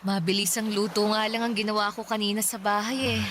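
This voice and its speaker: A woman's voice: medium pitch, level tone, a little fast, fairly bright tone, a little hiss or hum, lightly acted. An actress with a Filipino accent playing a devoted, protective, worried mother in a radio drama, speaking tenderly and emotionally. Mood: tender, reassuring. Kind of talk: Tagalog radio drama acting.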